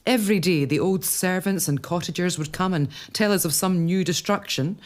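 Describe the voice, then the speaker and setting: A woman's voice: on the low side, moderate pace, natural voice. An actress playing a young mother, lady of an old castle, who speaks hesitantly and apologetically in a period audio drama. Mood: grave.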